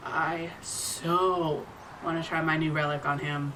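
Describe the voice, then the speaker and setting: A woman's voice: low voice, flat delivery, takes it slowly, distant mic, background noise. A woman as a blunt, sardonic brawler. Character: blunt, fight-hungry woman fighter with guns and magic. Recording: a little off-mic.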